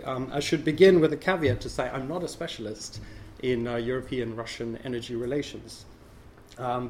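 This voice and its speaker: A man with a slightly crackly voice from a cold, giving a measured, explanatory academic talk as a panel discussant. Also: mid-range voice, a little mellow.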